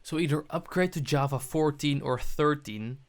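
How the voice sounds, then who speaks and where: A man's voice: on the high side, normal speed. A man presenting a programming tutorial, narrating his steps on screen as he goes.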